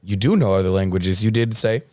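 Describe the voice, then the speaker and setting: A man hosting a casual interview, upbeat and affirming, tossing in quick slang interjections. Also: fairly low pitch, darkish tone, no echo, clean studio sound. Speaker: interviewer, host.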